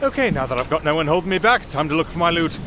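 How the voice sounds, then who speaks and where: Roguish young musician played by a man, higher-pitched, wry and glib, fantasy roleplay with loud background ambience. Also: lively intonation, racing, background noise, natural voice. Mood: wry, scheming. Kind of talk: fantasy audio roleplay acting.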